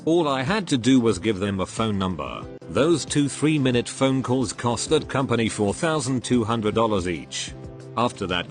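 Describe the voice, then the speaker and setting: A man reading a scripted first-person story as a narrator for online listeners. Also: on the low side. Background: background music.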